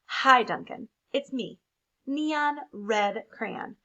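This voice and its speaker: Woman as a clueless red crayon, high voice, dramatic, emphatic, exclamatory, picture-book character. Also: extremely slow, bright, forceful tone, dull audio, heavily acted. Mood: indignant, hurt. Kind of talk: expressive picture-book read-aloud.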